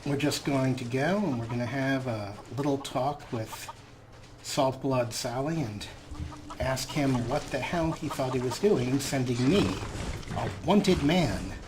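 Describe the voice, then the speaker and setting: A man's voice: medium pitch, expressive, a little slow, noisy background. A man giving casual, playful, improvised commentary as he plays a video game, often talking to the characters. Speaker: let's play host. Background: video game ambience.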